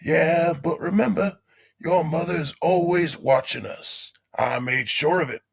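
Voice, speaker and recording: Man playing a slow-talking folksy beaver showman dad. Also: on the high side, vocal fry, slightly rough, full character voice. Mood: fondly confessional. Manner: folksy, hammy stage delivery. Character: folksy older male animatronic beaver showman, cheerily macabre father. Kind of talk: audio drama acting, stage show patter.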